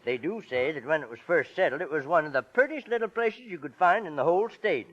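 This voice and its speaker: A folksy rural American townsman from old radio drama, eager and long-winded. His voice is very high for a man and bounces around freely, heard through dull vintage audio. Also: expressive, fast talker. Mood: folksy storytelling. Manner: folksy, rambling, eager storyteller. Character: folksy, talkative, trusting small-town husband, rural old-timer. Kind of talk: 1940s radio drama acting. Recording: muffled vintage 1940s radio recording.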